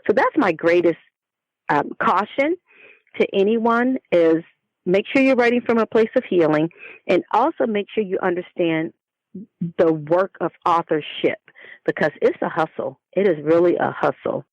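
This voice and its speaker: A woman's voice: on the low side, lively intonation, normal speed, dry recording, highs cut off. A woman giving reflective interview answers as a guest author, speaking in an earnest, emphatic and devout way.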